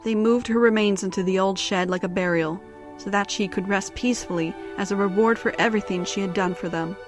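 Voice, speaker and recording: Woman narrating a story over soft music. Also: mid-range voice, steady tone, fairly quick.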